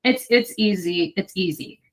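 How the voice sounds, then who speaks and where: A woman's voice: mid-range voice, unhurried. A middle-aged woman, an audiobook narrator and voice actor, chatting casually in an interview, talkative with many fillers and restarts.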